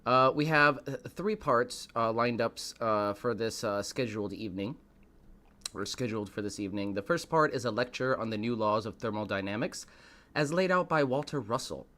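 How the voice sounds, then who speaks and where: Slightly hoarse man hyping a live stream. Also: medium pitch, lively intonation, normal speed, bright, forceful tone. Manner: showy, dramatic build-ups addressed to viewers. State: a little hoarse. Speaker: host of a live stream show. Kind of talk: hyped live-stream monologue, greeting chat.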